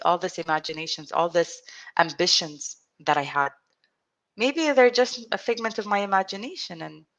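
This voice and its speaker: A woman in her thirties, an interview guest telling her own story in an earnest, reflective way, speaking with a stutter, frequent restarts and pauses. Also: on the low side, fairly bright tone. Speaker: interview guest, support group founder. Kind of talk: personal storytelling in conversation.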